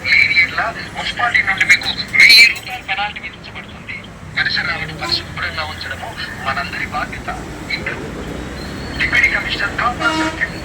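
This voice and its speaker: A man reading a scripted public service announcement in a formal, instructive manner, played over a street loudspeaker. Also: high-pitched, very animated, brisk. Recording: noisy street loudspeaker playback, telephone-like.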